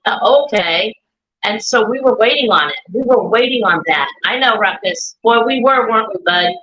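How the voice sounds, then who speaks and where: A talkative woman with a loud, sharp, bright voice, speaking on a live stream. She circles back over her points and repeats words as she goes. The sound is dull and lo-fi, from an echoey room. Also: on the high side, expressive, moderate pace. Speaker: guest, bereaved mother.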